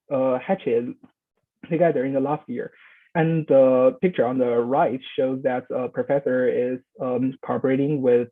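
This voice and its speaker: A young man giving a prepared presentation, halting with frequent fillers and restarts. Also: medium pitch. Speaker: youth presenter. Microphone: video call. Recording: phone-like video call audio.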